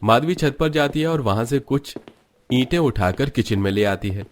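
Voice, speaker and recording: A man reads a scripted cartoon story as its narrator. His voice sits at a middle pitch, in a storytelling delivery. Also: lively intonation, normal speed, a little hiss or hum.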